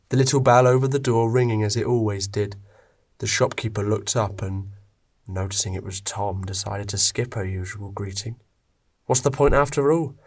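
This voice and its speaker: A man narrating a scripted story expressively, with dramatic pauses. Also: fairly low pitch.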